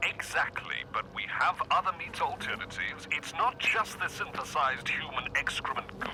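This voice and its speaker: A pompous, swooping ultra-rich tycoon. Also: male voice, fairly high pitch, very animated, moderate pace, poor audio. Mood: haughty, boastful. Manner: pompous, condescending, theatrical. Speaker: interviewee on a radio call-in. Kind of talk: satirical radio interview acting. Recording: music behind the voice.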